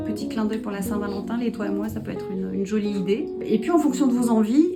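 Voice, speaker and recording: A middle-aged woman with a mid-pitched voice presents her small boutique in a friendly, chatty way, pausing on fillers as she goes. Acoustic guitar music plays clearly under her voice. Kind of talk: promotional shop presentation.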